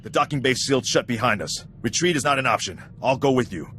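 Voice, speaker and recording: Casting: battle-ready sergeant, game. Mid-pitched, clipped, dutiful, over noisy rumble. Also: male voice, lively intonation, fast, sharp tone. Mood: resolute. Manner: clipped, military. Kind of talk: cinematic video game acting.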